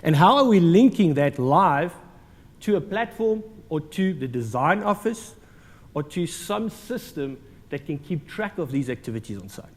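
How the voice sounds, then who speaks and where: South African male speaker, slightly high voice, casual and joking, hall with long reverb. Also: animated, moderate pace. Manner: jokey, conversational, many ums and uhs. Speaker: conference speaker. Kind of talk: conference presentation. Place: large conference hall.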